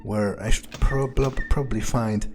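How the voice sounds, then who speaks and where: A man playing a sardonic, pragmatic vampire, muttering his thoughts aloud in a hesitant, deadpan way as in-character game commentary. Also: lowish voice, a little slow, noisy background, natural voice. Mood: musing, muttering. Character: sardonic, pragmatic male vampire sneaking around. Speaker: game player roleplaying a character.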